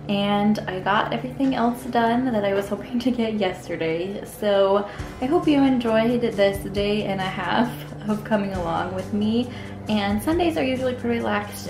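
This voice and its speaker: A relaxed woman in her thirties talks to a handheld camera while she works in her kitchen, thinking aloud as she goes. Her voice has a gravelly edge of fry. The kitchen sounds roomy and echoey, with faint music playing underneath. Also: medium pitch. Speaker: homemaking vlogger. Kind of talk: casual vlog chat to camera. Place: home kitchen, indoors. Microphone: handheld camera at arm's length.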